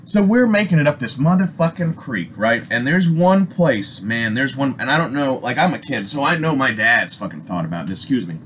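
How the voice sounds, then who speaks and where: Man, American accent, mid pitch, casual storytelling, animated, rambling, heavy swearing, slangy, slightly noisy amateur recording. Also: moderate pace, few pauses. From Alaska, United States. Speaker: storyteller, host. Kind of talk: casual personal storytelling, unscripted.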